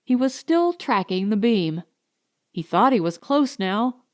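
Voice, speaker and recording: A woman narrates a science fiction story in a suspenseful, deliberate style. She reads slowly in short weighted phrases, with a mid-pitched voice and a close, dry sound. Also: big pitch swings, a little mellow, no room sound. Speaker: audiobook narrator.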